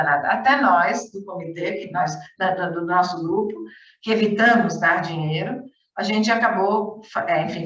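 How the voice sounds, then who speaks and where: A woman's voice: medium pitch, fairly bright tone. A woman speaks in an everyday mid-range voice, giving a conference talk over a video call. She talks in a chatty way, restarting sentences and repeating words for emphasis. Her voice sounds far from the mic, with a roomy echo and dull, lo-fi call audio. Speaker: conference speaker, volunteer teaching coordinator.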